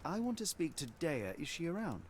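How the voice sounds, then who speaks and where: A man's voice: fairly high pitch, sing-song, brisk, a little hiss or hum. A man playing a formal, inquisitive detective with a British accent in a video game. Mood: calm, probing. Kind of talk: scripted game dialogue, questioning.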